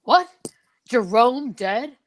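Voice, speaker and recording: A woman's voice: a little high, lively intonation, very slow. A woman acting the part of a male doctor in a radio mystery, official and questioning. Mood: puzzled, official. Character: male doctor, brisk and questioning, at a death scene. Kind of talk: old-time radio drama acting.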